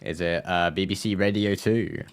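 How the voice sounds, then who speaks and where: A man's voice: low-pitched, fairly even intonation, normal speed, vocal fry, smooth. A man livestreaming a game, reading dialogue aloud and commenting jokingly, sometimes stumbling over the lines. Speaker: live streamer. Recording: game music behind.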